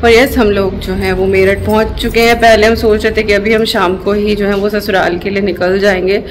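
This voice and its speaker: A woman's voice: mid-range voice, flat delivery, normal speed, faint music behind. A middle-aged woman from India chatting casually in a travel vlog, mixing English words into Hindi, tired with a headache. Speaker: vlogger. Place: airport and then at home.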